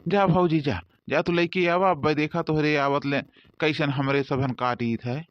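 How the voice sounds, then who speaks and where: A man's voice: fairly high pitch, steady tone, moderate pace, highs cut off, natural voice. A man voicing a complaining village farmhand in a rustic comedy cartoon, speaking with an Awadhi accent. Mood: defensive banter. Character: grumbling Awadhi village farmhands idling over cane cutting.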